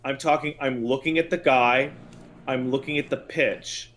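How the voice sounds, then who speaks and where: A man with a mid-pitched voice talks casually as a podcast co-host. He is blunt and sarcastic, airing his opinions on games with open exasperation. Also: fairly even intonation, normal speed, a bit bright. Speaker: podcast co-host and video game commentator.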